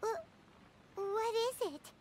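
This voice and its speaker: A woman voicing a tiny, childlike, excitable and timid fairy companion in a cartoonish video game, with squeaky, exclamatory speech. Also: slow pace, slight background noise, heavily acted. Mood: startled. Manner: squeaky, exclamatory, refers to self in third person.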